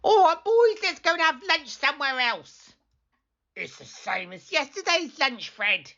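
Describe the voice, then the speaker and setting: A cheeky scavenging gull from a picture book. The squawky voice sits very high and swoops about, brash and greedy, with a sharp edge. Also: female voice, lively intonation, a little slow, gravelly, dull audio, full character voice. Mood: grumbling.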